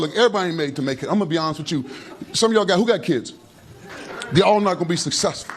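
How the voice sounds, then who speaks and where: Animated high-voiced comedian on stage. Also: male voice, noisy background. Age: 50s. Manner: loud, animated, slangy storytelling with heavy gestures. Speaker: stand-up comedian. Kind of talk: stand-up comedy routine. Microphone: handheld mic. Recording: live club recording with audience laughter. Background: audience laughing.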